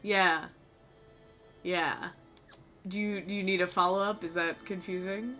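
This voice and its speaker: Young female streamer, low fried voice, rambling chat, faint music under. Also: steady tone, measured pace, pushed and bright. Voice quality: low voice with vocal fry. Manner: rambling, talks to chat, swears casually. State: voice tired and sore.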